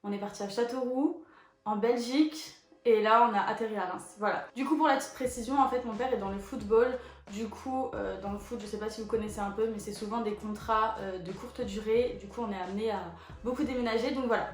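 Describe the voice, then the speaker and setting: A woman in her twenties, a photo model, chatting casually as she answers viewer questions, with lots of relaxed fillers. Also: on the high side, fairly quick. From France. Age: 20s. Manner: chatty and relaxed, with many fillers like 'du coup' and 'voilà'. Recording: echoey room with faint background music.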